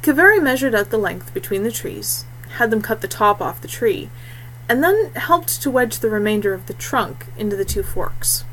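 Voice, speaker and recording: A woman's voice: fairly high pitch, animated, normal speed, a bit bright, slight background noise, poor audio. A woman reading a fantasy story aloud as scripted storybook narration.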